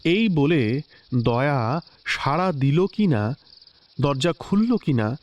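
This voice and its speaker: A man narrating a classic story in a measured, expressive literary style. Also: on the high side, a little hiss or hum. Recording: slightly dull lo-fi recording with soft music.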